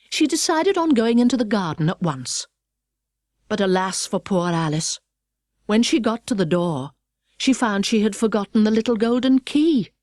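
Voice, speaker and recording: Audiobook narrator: British woman, precise expressive storyteller, highly varied intonation, dry studio sound. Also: no echo.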